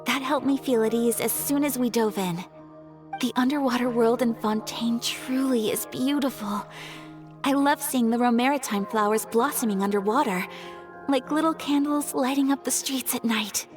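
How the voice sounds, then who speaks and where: A woman playing a gentle, polite and refined young noblewoman in a video game. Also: on the high side, moderate pace, music in the background, lightly acted. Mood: serene.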